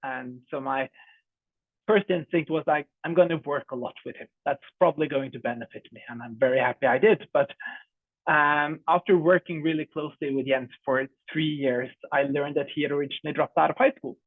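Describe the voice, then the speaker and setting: A man's voice: fairly high pitch, a little fast, no room sound. A young man with a Norwegian accent, a startup co-founder giving a friendly, chatty product demo over a video call, with many fillers. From Norway. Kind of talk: online demo presentation. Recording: dry, phone-like video call audio.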